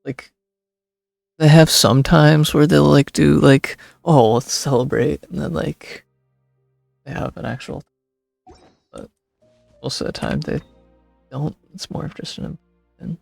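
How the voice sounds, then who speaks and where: A male streamer talks through a game in a laid-back, halting way. His mid-pitched voice is heavily creaky, recorded dry with faint music underneath. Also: fairly even intonation, a little mellow, no room sound. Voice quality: creaky, gravelly. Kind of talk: casual playthrough commentary.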